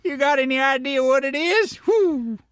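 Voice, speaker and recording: A man's voice: extremely high pitch, normal speed, fairly bright tone, a little hiss or hum. A man voicing a wrinkled old mole janitor in a comic audio drama, with a chuckling, folksy old-timer way of talking. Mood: excited, chatty. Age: older.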